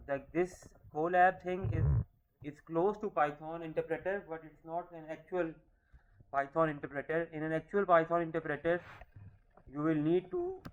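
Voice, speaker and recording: A man's voice: on the high side, muffled recording, slight background noise. A man in his thirties teaching a programming class, explaining step by step and often checking in with "okay?". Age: 30s. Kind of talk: screen-recorded coding lecture.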